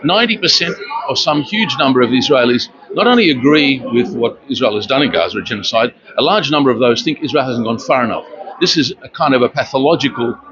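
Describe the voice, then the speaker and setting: An older man born in Romania, giving an earnest street interview at an outdoor protest, speaking haltingly with many fillers. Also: medium pitch, expressive, crowd noise. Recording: dull lo-fi with loud outdoor noise.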